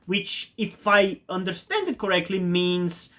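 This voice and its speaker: A man's voice: high voice, very animated, a little slow, fairly bright tone. Rambling Bulgarian man reviewing a show. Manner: rambling, many fillers and restarts; enthusiastic, repeats words for emphasis. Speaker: TV review YouTuber. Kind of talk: casual spoken TV review.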